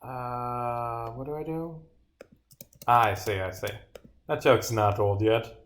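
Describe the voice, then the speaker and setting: A man's voice: mid-range voice, slow pace, a little hiss or hum. A man casually livestreaming his own game, reading dialogue aloud and muttering short asides to himself. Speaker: game developer streaming.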